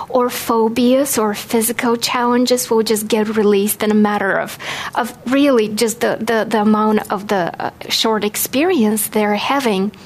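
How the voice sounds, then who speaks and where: A woman with a Bulgarian accent giving a personal storytelling talk to an audience, speaking in an earnest, expressive way with emphasis on key words. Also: fairly high pitch. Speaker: guest speaker, past life regression practitioner.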